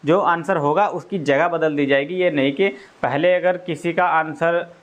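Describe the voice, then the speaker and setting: A man with a slightly high voice talks as an exam coach. He explains things informally, point by point, and goes back over each one with frequent little checks for understanding. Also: fairly even intonation, normal speed. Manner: repetitive, explanatory, often checks with "okay".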